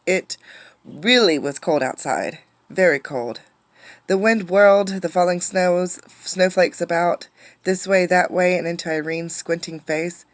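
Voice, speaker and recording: Female teacher for picture-book narration: lowish pitch, dry close sound, expressive, questioning. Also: no room sound. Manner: expressive, pausing to ask children questions. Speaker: teacher reading aloud. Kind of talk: interactive storybook read-aloud.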